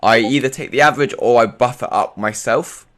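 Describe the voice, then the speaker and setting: A man's voice: mid-range voice, a little fast, a bit bright. A man from Europe hosting a casual, informal chat about tabletop games, often repeating his phrases. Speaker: talk show host and game master.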